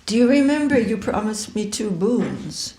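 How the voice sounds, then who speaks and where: A woman voicing an excitable, gullible queen who exclaims in disbelief. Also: medium pitch, measured pace, pitched above the performer's own voice. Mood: demanding. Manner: exclaiming, incredulous. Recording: echoey room.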